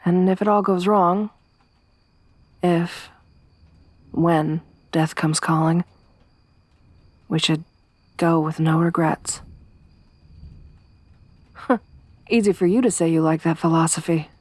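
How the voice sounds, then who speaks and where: A young woman voicing a tough, tomboyish survivor companion in an ASMR roleplay, speaking softly with a wry, weary warmth. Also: lowish voice, slow, long pauses, no room sound. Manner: soft-spoken, wry, quietly reflective.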